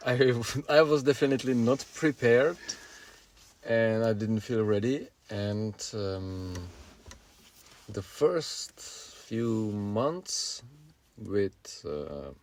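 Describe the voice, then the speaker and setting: A man in his forties with a Czech accent, a native Czech speaker, co-hosting a casual podcast and pausing often to find his words. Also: lowish voice, darkish tone, slight background noise. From Czech Republic. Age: 40s. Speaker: podcast co-host.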